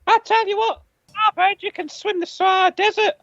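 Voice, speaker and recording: A woman's voice: very high-pitched, fairly even intonation, fairly quick, muffled recording, noisy background. A woman co-hosting a comedy podcast, teasing and chatty as she keeps score. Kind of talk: comedy quiz chat.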